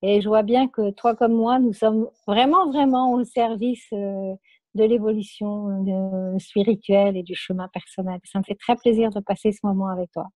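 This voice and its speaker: A woman in her late sixties from Paris with a Parisian French accent, a guest speaking softly and hesitantly about her spiritual path in a remote interview. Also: mid-range voice, fairly even intonation, normal speed, dry recording. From France, Paris. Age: 60s. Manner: soft, hesitant, many fillers and repeats. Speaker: guest author.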